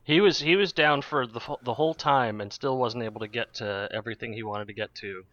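A man's voice: medium pitch, a little fast, bright, forceful tone, dry recording, dull audio. A man co-hosting a podcast in casual chat, giving dry, wisecracking replies. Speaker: podcast co-host.

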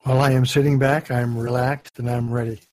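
A man co-hosting a casual podcast, bantering in a relaxed, joking way. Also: medium pitch, a little fast, no room sound. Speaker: podcast co-host. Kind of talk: casual chat.

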